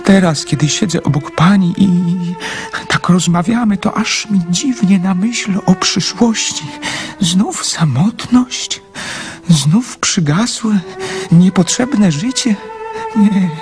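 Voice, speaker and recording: An actor playing a lonely, tender young dreamer in love in a radio drama, earnest, emotional and romantic. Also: male voice, high-pitched, lively intonation, slow pace, faint music behind, full character voice. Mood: anguished longing.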